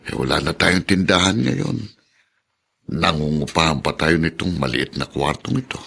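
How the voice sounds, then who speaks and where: A man playing a weary older husband with a deep voice. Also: animated, moderate pace, vocal fry, fairly bright tone, breathy edge, full character voice. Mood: resigned. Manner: resigned, plain-spoken. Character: older husband, weary tricycle driver, resigned.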